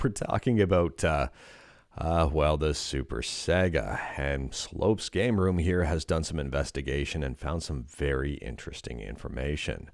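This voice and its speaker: Canadian male news host, very low voice, close dry mic, chatty with "uh" pauses. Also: gravelly, no room sound. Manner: conversational, hesitant fillers when reacting. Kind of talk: casual news roundup.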